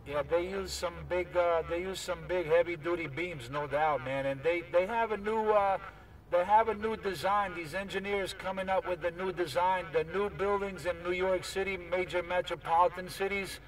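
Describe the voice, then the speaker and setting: A man's voice: high voice, fairly even intonation, normal speed. A man talking casually and informally with other operators over a CB radio. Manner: informal, often adds "man". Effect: CB radio transmission. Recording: distant, noisy CB radio.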